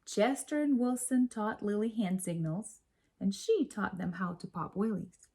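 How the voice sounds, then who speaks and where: A woman reading a children's story aloud in an expressive, measured storytelling manner. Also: medium pitch, vocal fry, breathy edge. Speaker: storybook reader.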